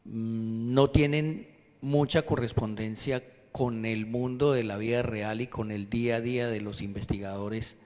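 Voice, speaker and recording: A man, an audience member asking a question at an academic conference, pausing often as he searches for words. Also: fairly low pitch, steady tone, a little mellow, in a large hall. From Colombia. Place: university auditorium.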